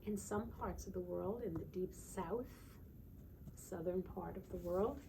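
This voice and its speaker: A woman teacher reading aloud to young children in a sing-song way, pausing to ask simple check-in questions. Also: lowish voice, gravelly, noisy background. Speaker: children's teacher and storytime reader.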